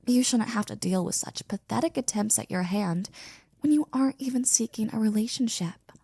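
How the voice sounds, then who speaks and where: A woman playing a devoted, flirty and jealous maid in an intimate ASMR roleplay, speaking softly and close to a whisper with teasing, courtly wording. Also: a little high, normal speed, no room sound. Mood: indignant, protective. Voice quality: soft, breathy, often near whispering. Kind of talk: ASMR roleplay, intimate one-sided scene.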